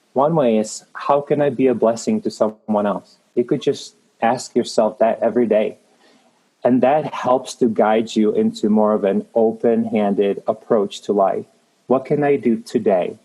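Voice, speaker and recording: A man's voice: fairly low pitch, mellow, rough recording. A man in his thirties from the Czech Republic with a Czech accent, a guest in an interview speaking in an earnest, encouraging way with frequent restarts. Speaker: guest, creative manager. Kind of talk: motivational interview talk.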